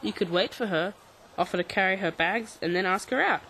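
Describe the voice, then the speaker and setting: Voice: medium pitch, fairly quick, bright, forceful tone, a little hiss or hum, low-quality recording. An actor playing a practical, encouraging teenage boy in a radio drama, giving short, matter-of-fact, prodding replies. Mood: reluctant, practical. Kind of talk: radio drama acting for English learners.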